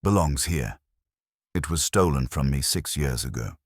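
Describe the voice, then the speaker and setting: A man's voice: rumbling low, expressive, measured pace, slightly rough, no echo, studio quality, heavily acted. A man voicing an imperious, irritable detective in an audiobook, with formal, commanding, precise diction. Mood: curt, grave.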